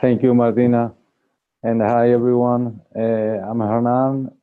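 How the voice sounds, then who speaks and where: Slow, flat-voiced man on a call. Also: medium pitch, clear, resonant tone. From Argentina. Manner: hesitant, many fillers. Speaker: course participant. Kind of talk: casual self-introduction on a group video call. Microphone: video call. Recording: phone-like call audio.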